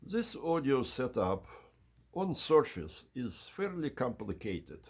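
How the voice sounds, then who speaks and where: A man hosting an unhurried explanatory video tour in measured, slightly formal phrasing, with a husky, gravelly voice. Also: mid-range voice, sing-song, a little mellow. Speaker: channel host giving an audio system tour.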